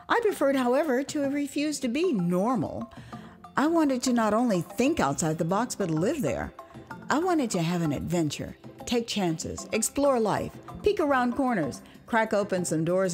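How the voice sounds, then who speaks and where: A woman from the United States narrating her own memoir as an audio drama, with expressive, theatrical delivery, acted asides and dramatic pauses. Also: mid-range voice. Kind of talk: dramatized first-person storytelling.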